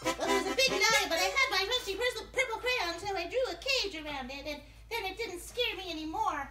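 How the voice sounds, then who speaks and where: A woman's voice: very high-pitched, animated, fairly quick. A woman voicing a brave, boastful little boy puppet in a children's show, speaking in an earnest, childlike, exclamatory way. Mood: bold, excited. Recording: roomy echo with music behind.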